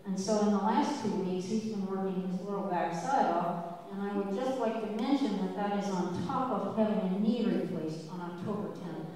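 Older female organist addressing a congregation: dry humor, halting ums, booming church echo. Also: dark tone. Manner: chatty, wry, unhurried with many ums. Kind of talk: speech to a church audience. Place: large church sanctuary with balcony. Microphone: handheld mic. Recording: echoey church hall, distant camera.

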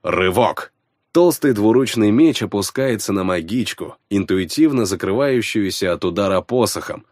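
A man narrating fiction in the first person, scripted and expressive, on a close studio mic. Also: fairly low pitch, normal speed, no room sound. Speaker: audiobook narrator. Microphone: close studio microphone.

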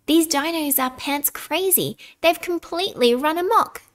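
A woman's voice: sits high, unhurried, fairly bright tone, pitched above the performer's own voice. A woman voicing a frightened, quaking caveman in a storybook, alarmed and urgent.

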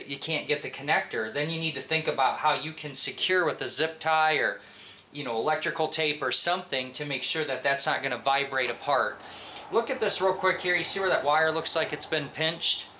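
A man's voice: fairly high pitch, sharp tone, a little hiss or hum. An American man in his forties speaking as a mechanic giving an informal hands-on tutorial, conversational and instructive, often checking in with questions. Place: home garage workshop.

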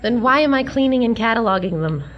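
An actress playing a dreamy, earnest young museum clerk in a comedic audio drama, sheepish and prim. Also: female voice, on the high side, expressive, fast talker, dull audio, slight background noise, natural voice. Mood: put-upon. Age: young adult.

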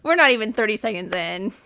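A woman's voice: medium pitch, lively intonation, fairly quick, sharp tone. A woman hosting a podcast in casual chat, laughing often, her voice a little worn. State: slightly losing her voice. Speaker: podcast host.

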